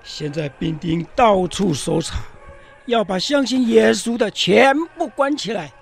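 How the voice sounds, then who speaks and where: An actor playing an anxious, frightened disciple in a radio Bible drama, with a husky, creaky voice. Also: male voice, sits high, measured pace, faint music behind, full character voice. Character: Peter, anxious, frightened male disciple in hiding.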